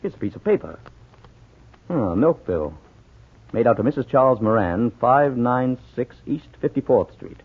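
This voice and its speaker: A thin, band-limited old radio recording of a level-headed gentleman detective. The man's voice is a little rough, with expressive rises and falls. He is polite and practical, in 1940s radio drama manner. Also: medium pitch, normal speed, phone-quality sound. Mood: decisive. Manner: polite, matter-of-fact.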